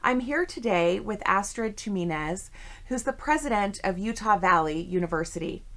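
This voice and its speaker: A woman's voice: mid-range voice, animated, moderate pace, fairly bright tone. A woman hosting an interview podcast, asking questions in a friendly, affirming way. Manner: friendly, affirming, frequent "sure". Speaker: podcast host.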